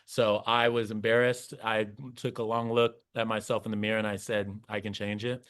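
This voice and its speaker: A man's voice: fairly low pitch, little pitch movement, moderate pace, a bit bright, clean tone. A young man, a podcast guest, talking earnestly in casual interview chat and often restarting his sentences.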